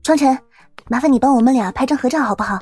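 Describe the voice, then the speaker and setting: Actress as a cheerful young college woman, high quick voice, slightly husky, audio drama, faint music behind. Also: dark tone. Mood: bright, eager.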